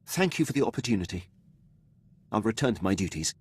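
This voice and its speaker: An actor playing a dutiful, formal military officer in a video game, deferential and precise, with clipped phrasing. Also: male voice, lowish voice, sing-song, quick pace, darkish tone, breathy edge, full character voice. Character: dutiful, formal male imperial military officer, loyal subordinate. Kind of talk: video game character acting.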